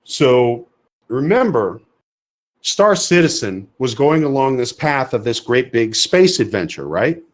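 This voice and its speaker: A man's voice: mid-range voice, big pitch swings, unhurried. A man co-hosting a podcast in casual chat, speaking bluntly and emphatically, often repeating words as he builds a point. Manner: emphatic, repetitive, blunt opinions. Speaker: podcast co-host.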